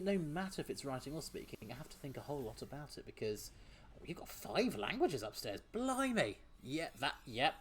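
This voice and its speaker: Lively, chatty man streaming a game. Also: mid-range voice. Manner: chatty, laughs often, reads chat aloud; trips over words at times. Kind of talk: casual gaming stream commentary.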